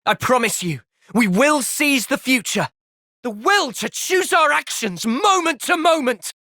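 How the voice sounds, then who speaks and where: An actor playing a thoughtful, gentle but resolute young male soldier in a video game, with a British accent and a sincere, measured delivery. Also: very high-pitched, lively intonation, no room sound, studio quality, exaggerated voice. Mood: resolute, impassioned. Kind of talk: video game cutscene voice acting.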